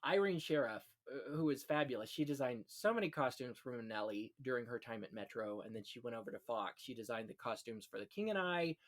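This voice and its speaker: A man with a mid-pitched voice hosts a relaxed discussion of film history. He talks effusively and with plenty of opinion, moving from one anecdote to the next. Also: brisk, hardly any pauses. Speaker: podcast host on classic Hollywood films.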